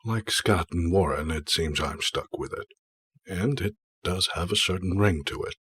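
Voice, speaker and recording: A man playing a thoughtful, articulate, hulking young mutant in an audio drama, with a husky voice. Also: low-pitched, moderate pace, a bit bright, heavily acted.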